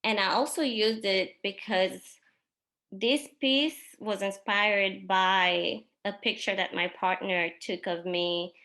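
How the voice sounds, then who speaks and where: Slow, bright-voiced woman, French her first language. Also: mid-range voice, steady tone. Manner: unhurried, pausing often with ums. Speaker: exhibiting artist. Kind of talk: presenting her artworks in a talk.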